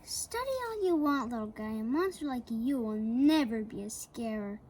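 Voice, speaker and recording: A woman's voice: on the high side, expressive, normal speed, darkish tone, a little hiss or hum, lightly acted. Woman voicing a big cocky teasing monster. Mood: taunting.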